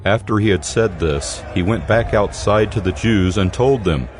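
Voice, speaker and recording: Casting: male scripture narrator, deep register, scripted reading over soft music. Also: moderate pace.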